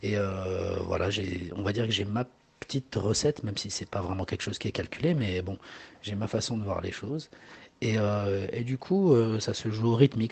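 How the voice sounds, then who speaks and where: A man's voice: lowish voice, gravelly, slightly rough. A man in his forties, a singer whose first language is Arabic, answering interview questions hesitantly with many fillers and repeated words. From Morocco.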